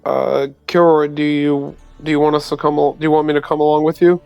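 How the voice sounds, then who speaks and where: A man's voice: on the high side, little pitch movement, fairly quick, creaky, a little mellow, smooth, faint music behind. A man playing a tabletop roleplaying game, talking casually and a bit tentatively. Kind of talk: casual in-character roleplay chat.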